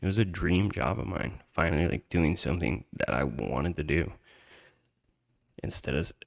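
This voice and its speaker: Deep, gravelly-voiced man telling a story. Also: expressive, moderate pace. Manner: slangy, swearing, conversational. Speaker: podcast host telling a personal story. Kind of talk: casual first-person storytelling.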